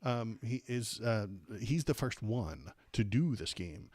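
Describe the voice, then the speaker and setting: An American man hosting a casual political livestream, rambling and halting with repeated words, irreverent and sarcastic as he chats with viewers. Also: lowish voice, big pitch swings, a little mellow, no room sound. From United States. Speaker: livestream host. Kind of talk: casual political commentary, chatting with live viewers.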